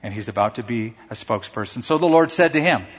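A man preaching a sermon to a congregation in a conversational, animated way, sometimes acting out stammering quotes. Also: mid-range voice, fast, breathy edge. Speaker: pastor. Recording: dull sound in a large reverberant hall.

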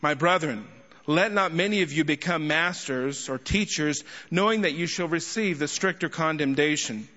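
A man preaching an expository sermon to a congregation, speaking emphatically with rhetorical questions and deliberate pauses. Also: a little high, pushed and bright. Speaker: guest preacher and teacher. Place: large indoor church hall. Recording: echoey large hall, slightly muffled sound.

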